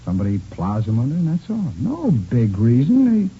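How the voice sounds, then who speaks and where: An actor playing a talkative, cocky murder suspect in a vintage radio crime drama, casual and rambling, with repeats and restarts. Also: male voice, medium pitch, huge pitch swings, moderate pace, breathy edge, full character voice. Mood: chatty, self-satisfied. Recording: muffled, noisy vintage radio recording.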